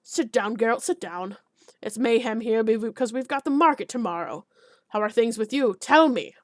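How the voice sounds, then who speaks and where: A woman voicing a small, podgy, hot-tempered village alderman who blusters and shouts. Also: a little high, normal speed, dry recording, clean studio sound, lightly acted. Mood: hearty.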